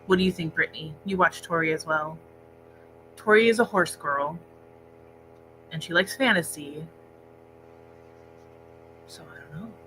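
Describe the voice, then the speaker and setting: A woman's voice: low-pitched, a little slow, long pauses, background noise. A woman co-hosting a casual book discussion livestream, talking conversationally indoors. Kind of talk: casual chat about books. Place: indoor library room, speaking into a laptop set-up.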